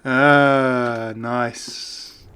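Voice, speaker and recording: A man's voice: mid-range voice, level tone, drawn-out words, pushed and bright, clean tone. A man giving casual, jokey commentary as a gaming video host. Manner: chatty, jokey.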